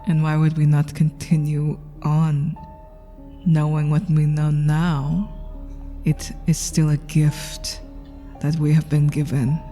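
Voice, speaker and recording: A man voicing a courteous, accepting guest at a vampire banquet in a fantasy game, speaking gently and serenely. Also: a little high, completely flat delivery, takes it slowly, soft background music. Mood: serene politeness.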